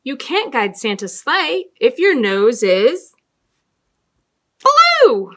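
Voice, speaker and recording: A middle-aged woman telling stories and talking to young children as a storytime host, with an expressive, deliberate sing-song delivery and dramatic emphasis. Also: high voice. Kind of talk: read-aloud storytelling and talk to young children. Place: small indoor room with fabric backdrop.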